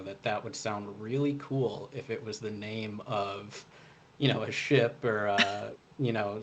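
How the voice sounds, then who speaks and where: A man's voice: lowish voice, a little hiss or hum. A young man answering interview questions casually as an author, hesitant and padding his explanations with "you know". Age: young adult. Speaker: debut author being interviewed.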